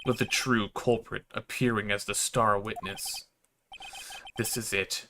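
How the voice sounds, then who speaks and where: A man's voice: lowish voice, fairly even intonation, unhurried, bright, forceful tone, slight background noise. A man voicing a beleaguered young lawyer in a game, wry and brooding. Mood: grim, reflective. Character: beleaguered young male lawyer, dry and weary.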